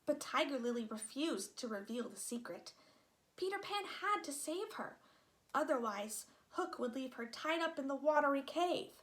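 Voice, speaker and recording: A woman reading a children's story aloud as an expressive narrator. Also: high-pitched, moderate pace. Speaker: storybook reader.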